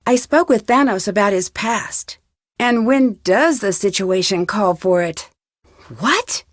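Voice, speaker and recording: A woman's voice: medium pitch, lively intonation, slow pace, no echo, studio quality. An actress playing an inquisitive, polite young woman detective in a video game, speaking in an earnest, questioning way. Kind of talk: video game dialogue acting.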